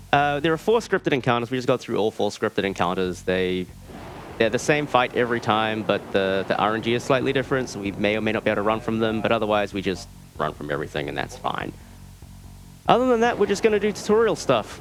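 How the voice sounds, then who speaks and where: A man with a New Zealand accent giving casual, dryly joking live commentary as he plays a video game. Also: medium pitch. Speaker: speedrunner presenting a live run. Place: live speedrunning marathon event. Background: game music.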